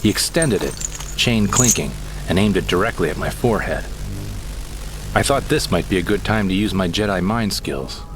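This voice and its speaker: A man's voice: low voice, lively intonation, normal speed, background noise. A man narrating a comic audio drama in the first person, in a wry, theatrical storytelling manner. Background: music.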